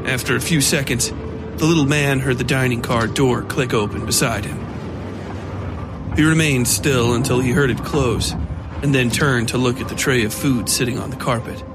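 A man with a medium-pitched voice narrates a horror story. His delivery is scripted and dramatic, and music plays clearly behind him. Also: moderate pace. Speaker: narrator of a horror fiction podcast.

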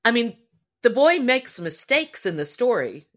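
A woman's voice: a little high, sing-song, measured pace. A woman co-hosting a podcast, chatting casually and expressively about a story in an earnest way. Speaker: podcast co-host.

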